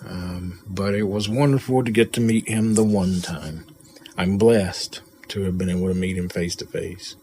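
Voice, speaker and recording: A man giving a personal spoken tribute to a friend, halting and reflective, repeating himself as he goes. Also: sits low, fairly even intonation, mellow. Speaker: friend and colleague paying tribute. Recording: noticeable background noise.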